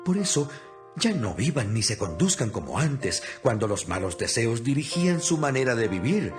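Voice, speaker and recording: A man's voice: medium pitch, very animated, normal speed. A man giving an earnest, instructive dramatized reading of scripture as an audio Bible narrator. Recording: music behind the voice. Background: background music.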